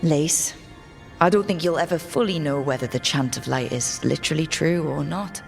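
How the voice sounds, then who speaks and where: Thoughtful game heroine: grounded, earnest, notably low register. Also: female voice, moderate pace, vocal fry, faint music behind. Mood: reassuring. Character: thoughtful elven heroine, level-headed, reassuring. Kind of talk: video game voice acting.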